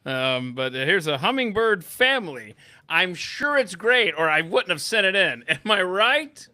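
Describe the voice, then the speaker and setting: A man's voice: sits high, big pitch swings, a little slow, sharp tone. A man hosting a casual live show with playful, teasing banter. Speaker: host of a live stream show.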